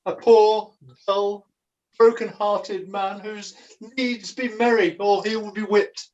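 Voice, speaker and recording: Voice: mid-range voice, fairly even intonation, slow pace, a little mellow, highs cut off, close to an everyday voice. An amateur actor playing a melancholy, gullible pantomime jester with a theatrical, declamatory delivery, recorded at home over a video call. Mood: mournful. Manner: theatrical, declamatory, archaic wording.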